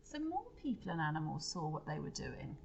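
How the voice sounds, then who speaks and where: A woman's voice: sits low, sing-song, brisk, vocal fry, darkish tone, a little hiss or hum. A woman reading a storybook aloud to children like a teacher, expressively, with explanatory asides and questions to her listeners.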